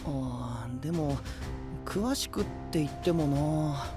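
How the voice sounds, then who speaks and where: A man's voice: on the high side, slow pace, music in the background. A man playing a carefree, friendly young knight in an anime audio drama, with casual, loose, easygoing speech.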